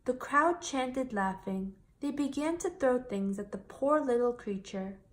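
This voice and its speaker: Lady with a highish voice reading a kids picture book out loud, storytime style. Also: animated, measured pace. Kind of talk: expressive read-aloud narration for children.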